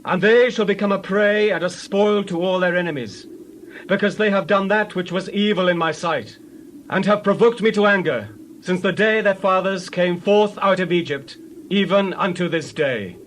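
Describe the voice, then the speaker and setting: An actor playing a wrathful God solemnly declaiming judgment in a dramatized scripture recording. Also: male voice, high-pitched, normal speed, fairly bright tone, slight background noise. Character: wrathful male God pronouncing judgment on a nation.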